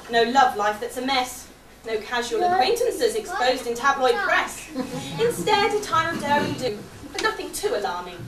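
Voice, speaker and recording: A woman's voice: high-pitched, sing-song, moderate pace, a crowd in the background. A woman playing a chirpy young male servant who narrates a pantomime, declaiming to the audience in amateur stage style. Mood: cheery. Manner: bright, declamatory, addressing the audience directly. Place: theatre or hall stage with audience. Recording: echoey hall, distant mic, audience noise.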